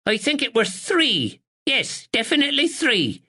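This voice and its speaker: A man voicing a sharp, relentless, bedridden elderly mother with a dialect. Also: extremely high pitch, very animated, unhurried, breathy edge, pitched above the performer's own voice, heavily acted. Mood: sharp, insistent. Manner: blunt, relentless, dialect "were".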